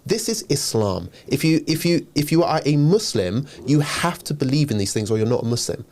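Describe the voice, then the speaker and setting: A man co-hosting a discussion podcast, talking emphatically with bantering humor and a quick laugh. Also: fairly high pitch, very animated, a little mellow. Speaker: podcast co-host.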